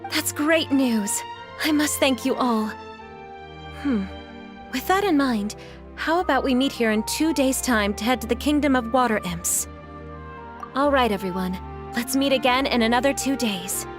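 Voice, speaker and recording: Composed, higher-voiced kindly mother. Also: unhurried, music in the background. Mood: grateful. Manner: calm, reassuring, polite. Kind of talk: video game voice acting.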